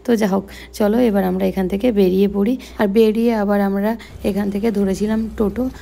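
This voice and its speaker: A woman's voice: medium pitch, steady tone, a little fast, creaky, dark tone. A young woman with a West Bengali accent narrating a casual daily vlog in a chatty, explanatory way. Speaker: vlogger.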